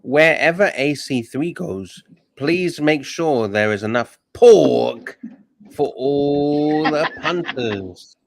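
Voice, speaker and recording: A man hosting a livestream, reading super chats aloud and chatting casually, animated and swearing. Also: on the high side, slow, creaky, no echo. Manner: animated, swears, mocks and laughs. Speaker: livestream host.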